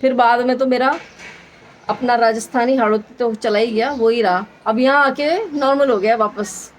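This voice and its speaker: A woman's voice: a little high, steady tone, moderate pace, slight background noise, rough recording. A woman in her thirties from the Hadoti region of Rajasthan, with a mild Hadoti tone, chatting casually in a daily vlog and repeating phrases for emphasis. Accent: Hindi with a mild Hadoti Rajasthani tone. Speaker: vlogger, homemaker.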